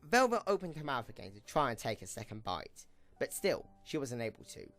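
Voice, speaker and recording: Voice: mid-range voice, very animated, fairly quick, a bit bright, soft background music. A narrator reading scripted third-person story narration for an amateur audio drama.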